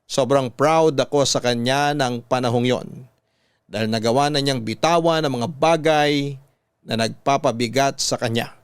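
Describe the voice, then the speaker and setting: A man with a Filipino accent reading a scripted first-person story in a measured, dramatic storytelling manner. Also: mid-range voice, clear, resonant tone, dry recording. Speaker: radio drama narrator.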